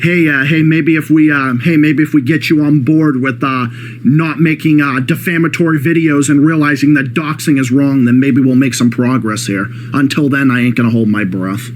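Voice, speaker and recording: A man with a Boston accent delivering a profane, mocking reaction rant, often shouting. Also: mid-range voice, steady tone, normal speed. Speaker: YouTube ranter.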